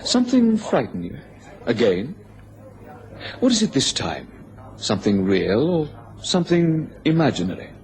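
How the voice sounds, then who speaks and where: A mocking upper-class businessman in a radio drama. His middle-pitched voice rises and dips animatedly as he goads, every phrase sardonic. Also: very animated, unhurried, long pauses, darkish tone. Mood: taunting, goading. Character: sneering, taunting upper-class English businessman, a bitter rival.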